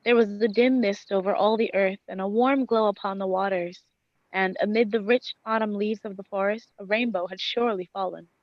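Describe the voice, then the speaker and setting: An actress playing a devoted, worried wife in a gothic radio play, speaking in formal, literary phrasing. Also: female voice, medium pitch, fairly even intonation, fairly quick, no room sound, dull audio, natural voice. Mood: mournful. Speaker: actress in an audio drama. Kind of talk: dramatic first-person radio play narration and dialogue.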